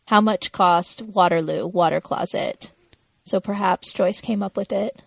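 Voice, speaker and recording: A woman's voice: mid-range voice, measured pace, vocal fry, breathy edge, no echo. A woman co-hosting a podcast, explaining things in a casual, understated and somewhat tentative way. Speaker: podcast co-host.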